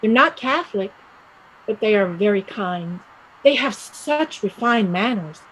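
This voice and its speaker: A woman playing a restless young Irish village woman set on emigrating, speaking emphatically and deliberately in a stage play reading. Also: medium pitch, lively intonation, fairly bright tone, husky, rough recording. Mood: earnest, hopeful.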